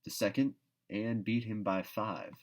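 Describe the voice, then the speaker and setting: A man's voice: on the low side, fairly even intonation, a little slow. A man narrating a classic novel aloud as an audiobook reader, with theatrical, emphatic phrasing. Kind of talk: expressive storybook read-aloud narration.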